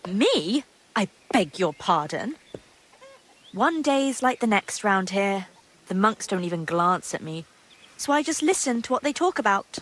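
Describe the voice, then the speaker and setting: A woman's voice: mid-range voice, big pitch swings, a little slow, a bit bright. A woman playing a gossipy, guarded young monastery maid in a medieval video game drama, secretive and earthy in her talk. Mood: confiding. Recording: clear background ambient noise.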